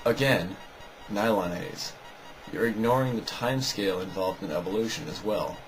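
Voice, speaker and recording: A man's voice: lowish voice, normal speed, over music, poor audio. A man giving a scripted, argumentative rebuttal commentary in a sarcastic, dismissive way. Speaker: video narrator rebutting another video.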